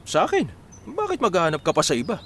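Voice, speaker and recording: A man's voice: sits high, wildly swooping pitch, fast talker, fairly bright tone, a little hiss or hum, full character voice. A man acting an insistent, shrewd village character in a Filipino radio drama. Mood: shrewd, sly.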